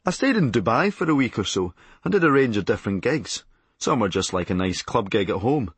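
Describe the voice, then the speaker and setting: A Scottish man reads a comic memoir in a fairly low, husky voice. His delivery is sardonic and blunt, with pitch that swings widely for dark comic effect. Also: big pitch swings, fairly quick, no room sound. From Scotland. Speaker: comedian narrating a memoir audiobook. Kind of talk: scripted comic memoir narration.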